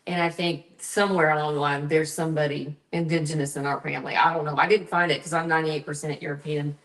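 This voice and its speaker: A woman's voice: deep voice, level tone, roomy sound, low-quality recording. A woman with a slight Southern accent, outgoing and upbeat in casual conversation. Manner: upbeat, outgoing, quick to agree. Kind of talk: casual chat.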